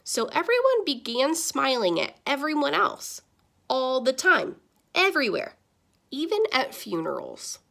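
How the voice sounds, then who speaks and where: Woman, teacher reading aloud, very lively intonation, dramatic pauses, stressed key words, children's storybook style. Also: high voice, creaky.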